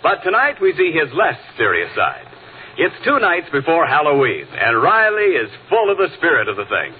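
An American male announcer in the 1940s radio style, pitched fairly high with lively swings in delivery. He sounds thin and band-limited, with slight noise behind him. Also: normal speed. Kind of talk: scripted 1940s radio show introduction. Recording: tinny vintage radio broadcast, faint noise.

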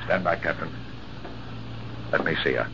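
Calm doctor, super deep gravelly voice, hissy old radio. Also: male voice, normal speed, exaggerated voice. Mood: consoling. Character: calm older male doctor, gently consoling. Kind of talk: 1940s radio acting. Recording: noisy dull old radio recording.

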